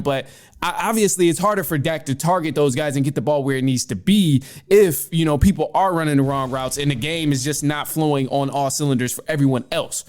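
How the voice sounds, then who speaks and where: A man's voice: on the high side, a little mellow. A man hosting a sports show, giving casual, opinionated commentary in informal language. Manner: informal, opinionated, mild swearing. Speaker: sports podcast host.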